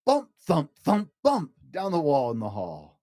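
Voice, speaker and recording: A man reading a children's story aloud with expressive, emphatic delivery of the rhymes. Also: a little high, unhurried, dry recording, clean studio sound. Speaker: storybook reader, host.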